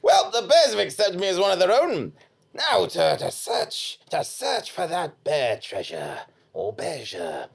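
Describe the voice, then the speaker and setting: A bumbling hero played by a man who stammers nervously through a live comic audio play. His pitch swings wildly and often drops into creak, picked up by a stage microphone in a small theatre. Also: big pitch swings, measured pace, heavily acted. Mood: self-narrating. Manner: flustered, hesitant, stammering. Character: bumbling, nervous hero posing as a monster bear. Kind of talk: live comedy audio drama performance. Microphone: microphone on a stand.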